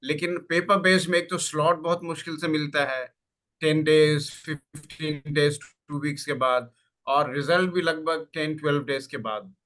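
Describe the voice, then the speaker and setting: Bright-voiced male language expert, measured. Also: fairly high pitch, fairly even intonation. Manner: measured, explanatory, mixes English phrases into Urdu. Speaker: guest English language expert. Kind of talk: answering questions in a webinar.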